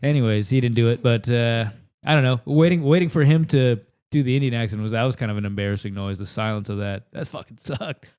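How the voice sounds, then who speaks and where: A man's voice: mid-range voice, fast talker, darkish tone, clean tone, no echo, studio quality. A man from the United States doing an improvised, crude, self-deprecating comedy rant into a handheld mic at a table in a house. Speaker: comedian contestant. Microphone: handheld microphone.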